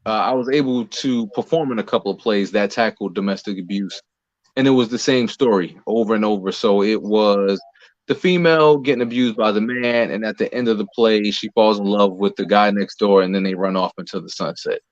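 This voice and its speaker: An American man answering interview questions conversationally as a guest, explaining in a measured way with pauses between phrases. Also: lowish voice, dry recording, muffled recording. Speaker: playwright, director and actor interviewed as guest.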